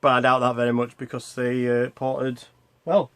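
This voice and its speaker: A man's voice: medium pitch, monotone, moderate pace, a bit bright, clear, resonant tone. A man hosting a retro gaming vlog in a casual, unscripted way, rambling and hesitant as he interrupts himself. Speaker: host of a retro gaming video channel.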